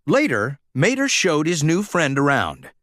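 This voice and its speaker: A man's voice: a little high, wildly swooping pitch, unhurried, fairly bright tone, dry recording, clean studio sound. A man reading a children's storybook aloud as scripted narration. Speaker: storybook reader.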